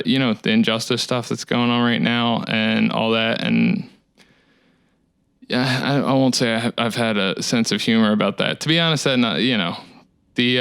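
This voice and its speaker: A man in his thirties talking in a casual, rambling solo monologue, with a dry, monotone delivery. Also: mid-range voice, gravelly, smooth, no room sound. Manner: rambling, reflective, many fillers. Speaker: musician hosting his own talk series. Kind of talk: casual solo talk to camera.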